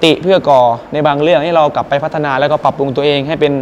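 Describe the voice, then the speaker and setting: A man's voice: fairly high pitch, steady tone, very fast, highs cut off, a little hiss or hum. A young man, a singer mentoring students, speaking politely and earnestly to the camera in a presenter style, first outside a school and then inside a music school. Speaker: guest singer and workshop mentor. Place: outdoors by a school building, then indoors at a music school.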